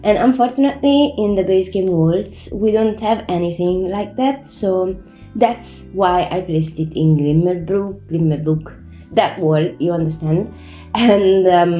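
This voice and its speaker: A woman's voice: lowish voice, dark tone, reverberant. A woman, a native Italian speaker, hosting her own channel in casual chat, sounding congested from a cold, chatty and self-correcting. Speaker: Sims builder, channel host. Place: room at home. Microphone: new microphone.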